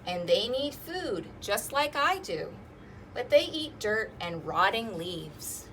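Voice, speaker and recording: Slow, higher-pitched woman reading to children. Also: sing-song, fairly bright tone, a little hiss or hum. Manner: asks listeners questions and invites them to join in. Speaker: children's storytime host. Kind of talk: interactive read-aloud for young children.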